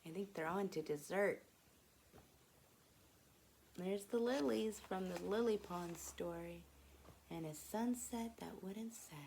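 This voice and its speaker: A woman reads aloud for young children in an unhurried, very expressive way, with simple teacherly phrasing. Her voice has some creak, and there is faint noise behind her. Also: mid-range voice. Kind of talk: expressive children's read-aloud and gentle explanation.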